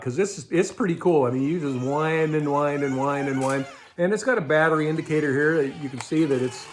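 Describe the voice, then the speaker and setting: A middle-aged man with an American accent explains things conversationally, like a host reviewing gear informally to camera. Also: medium pitch. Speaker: host of a budget prepping show. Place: indoors in a garage or storage room with shelves.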